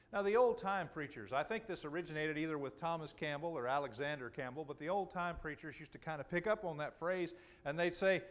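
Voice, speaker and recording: A middle-aged man preaching an expository sermon in a church, slowing down to stress key phrases. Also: fairly high pitch. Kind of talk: sermon, expository Bible teaching. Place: church auditorium, speaking from the pulpit to a congregation.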